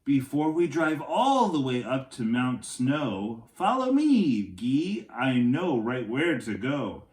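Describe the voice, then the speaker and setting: A man's voice: medium pitch, very animated, slow, darkish tone, roomy sound. A man voicing a cheerful, eager male adventurer from a children's picture book, exclaiming his dialogue.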